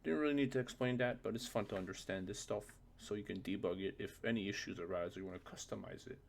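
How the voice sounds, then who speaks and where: A man presenting a casual software tutorial, thinking aloud in an informal way with a gravelly voice. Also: fairly low pitch, quick pace.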